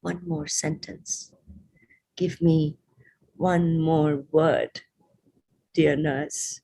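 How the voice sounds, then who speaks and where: Woman doing stubborn old mum in a poem, husky, proud, scolds n coaxes, drawn out words. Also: medium pitch, big pitch swings, unhurried, darkish tone, pitched above the performer's own voice, exaggerated voice, rough recording. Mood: soft pleading. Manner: exclamatory questions, coaxing repetitions. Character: stubborn elderly mother, proud, scolding yet sweetly pleading. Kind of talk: dramatised poetry recitation.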